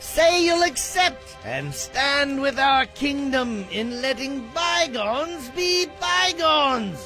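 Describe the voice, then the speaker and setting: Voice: high-pitched, lively intonation, slow pace, sharp tone, faint music behind, exaggerated voice. An actor voicing a kindly, dignified cartoon king with a formal, theatrical, courtly manner. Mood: earnest, conciliatory. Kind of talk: animated cartoon acting.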